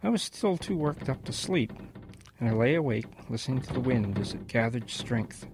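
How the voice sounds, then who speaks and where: A gravelly grandfather, played by a man tells of his boyhood in a folksy, reminiscing way. His creaky voice sits at a middling pitch. It is radio drama storytelling with some noise on the recording. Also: animated, moderate pace, lightly acted. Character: elderly grandfather recounting his wartime boyhood.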